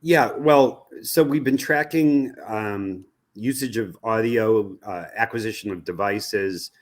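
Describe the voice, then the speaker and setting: An American man giving explanatory interview answers as an expert guest, measured and often halting to rephrase himself. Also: lowish voice. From United States. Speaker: interview guest, research company president.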